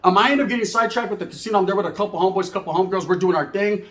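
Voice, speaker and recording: Animated man with a high voice. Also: very fast. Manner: animated, slangy, swings between loud bursts and low mutters. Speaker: comedic storyteller. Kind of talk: comedic storytelling monologue. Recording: echoey room.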